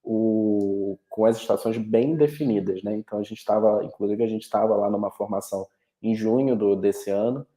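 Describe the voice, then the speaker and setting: A man's voice: lowish voice, normal speed, warm tone, echoey room, poor audio. A man with a Carioca accent giving an informative online presentation over a video call, often restarting his sentences. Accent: Carioca Brazilian Portuguese. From Rio de Janeiro, Brazil. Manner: informative, with frequent restarts and fillers. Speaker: international education representative.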